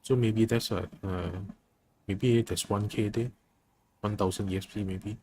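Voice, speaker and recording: A man's voice: on the low side, fairly even intonation, unhurried, warm tone, slight background noise. A man livestreaming a game, chatting casually with viewers and thinking aloud in a hesitant way. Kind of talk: casual chat with viewers during gameplay.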